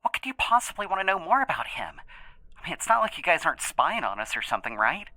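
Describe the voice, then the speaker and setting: Man acting as easygoing interviewee, raised lively pitch, cheeky stammer, audio drama, dry recording. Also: fast talker, no room sound, exaggerated voice. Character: easygoing, chatty male interviewee, loyal boyfriend and programmer.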